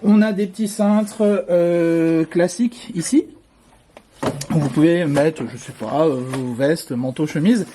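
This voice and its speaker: Man, friendly vlogger giving a casual hotel walkthrough, slightly high voice, faint noise behind. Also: slow pace, dark tone. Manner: chatty, friendly, many filler sounds. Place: indoors in a hotel, walking through corridors and a room.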